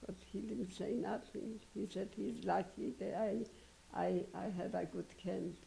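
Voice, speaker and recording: Older woman, very gravelly, low mellow tone, halting broken english. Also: steady tone, a little hiss or hum. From Poland. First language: Polish and Yiddish. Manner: broken English, hesitant, frequent restarts. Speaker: interviewee. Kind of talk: halting personal recollection.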